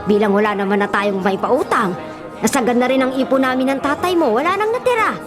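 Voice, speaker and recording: A woman's voice: sits high, a little fast, close to an everyday voice. A woman with a Filipino accent playing a kind, worrying older mother in a radio drama. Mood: worried, gentle. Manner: fretful, exclaiming. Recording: music and crowd noise behind. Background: music, crowd sounds.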